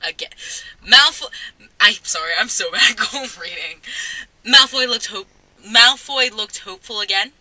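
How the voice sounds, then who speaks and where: A woman's voice: on the high side, moderate pace, sharp tone, no room sound, poor audio. A woman narrating an amateur dramatic reading, with giggly, self-mocking asides.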